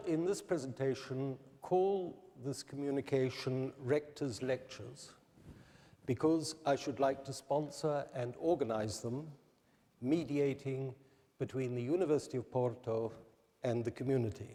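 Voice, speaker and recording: Slow, measured New man. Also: medium pitch, warm tone. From New Zealand. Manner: measured, deliberate delivery. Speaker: candidate giving a public presentation. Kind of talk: formal prepared speech. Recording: reverberant hall.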